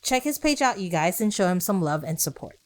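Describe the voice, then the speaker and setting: A woman's voice: fairly low pitch, very animated, fairly quick. A woman hosting a podcast, reading scripted narration with conversational asides, rhetorical questions and short emphatic phrases. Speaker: podcast host.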